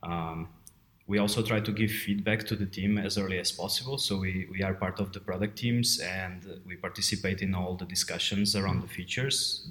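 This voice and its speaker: A man speaks as an interview guest, in a conversational way. His voice sits low, and the room around him adds a clear echo. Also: pushed and bright. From Portugal. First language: Portuguese. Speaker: guest, head of quality assurance. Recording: roomy, echoey sound.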